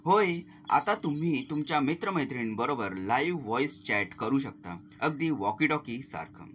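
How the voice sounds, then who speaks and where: A man's voice: medium pitch, expressive, normal speed, a bit bright. A man narrates a step-by-step tech tutorial as a channel host, explaining things to viewers as friends. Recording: clearly noisy voice-over recording.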